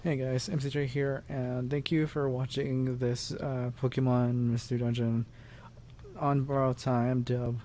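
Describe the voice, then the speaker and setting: A man hosting a channel, giving a casual unscripted thank-you in a halting, repetitive, rambling way. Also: medium pitch, little pitch movement, warm tone, a little hiss or hum. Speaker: channel host and dub producer.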